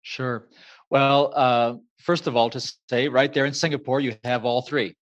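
A man's voice: mid-range voice, fairly even intonation. Thoughtful man on a muffled line. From Ecuador. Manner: measured, thoughtful, many fillers. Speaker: author and guest. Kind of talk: reflective interview answer.

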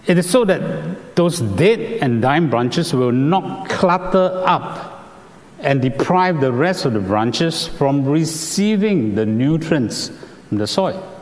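A Singaporean man delivers a sermon at an unhurried pace, his voice moving expressively up and down. He explains carefully, now and then stopping on an "uh." His words ring out with the long reverb of a large hall. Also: fairly high pitch, very animated, darkish tone. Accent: Singaporean English. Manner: measured, explanatory, with "uh" fillers. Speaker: preacher.

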